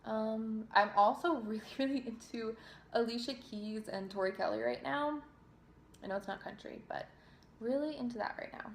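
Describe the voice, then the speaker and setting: A woman's voice: a little high, moderate pace, vocal fry, a little hiss or hum. Chatty young woman in a roomy echo. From New Jersey. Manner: chatty and self-deprecating, with fillers and restarts. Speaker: singer and vlogger. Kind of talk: casual Q&A reading viewer questions.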